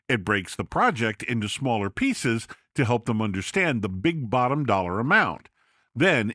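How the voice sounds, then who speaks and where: A man voicing a seasoned male contractor who calmly explains his system to other builders. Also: medium pitch, no echo, clean studio sound.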